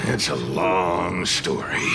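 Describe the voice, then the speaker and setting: An actor playing a grumpy, sarcastic spirit in a video game, gravelly and theatrical, with haughty disdain. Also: male voice, sits low, full character voice.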